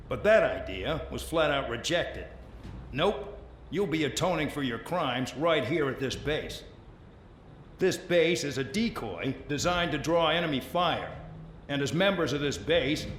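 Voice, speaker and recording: A man's voice: on the high side, a bit bright. A man playing a condescending, commanding officer who lectures convict pilots in a scripted game cutscene. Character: gruff, scornful male officer lecturing convict pilots at military base. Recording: echoey address with faint background noise.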